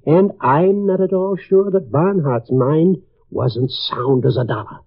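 Pompous, husky-voiced college dean. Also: male voice, on the high side, measured pace. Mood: smug, evasive. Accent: American. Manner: pompous, sententious. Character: pompous, self-deceiving college dean, smugly platitudinous. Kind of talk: 1950s radio drama acting. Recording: tinny vintage radio recording.

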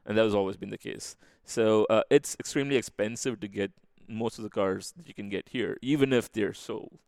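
A man with an Indian accent talks casually as an interview guest. His voice sits low with a fry to it, and the sound is close and dry. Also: fairly quick, darkish tone, no echo. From Chennai, India. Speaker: podcast guest.

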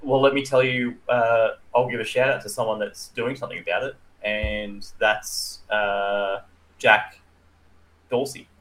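Male podcast co-host, somewhat low but bright and forceful, explaining things casually. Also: fairly even intonation, measured pace, low-quality recording.

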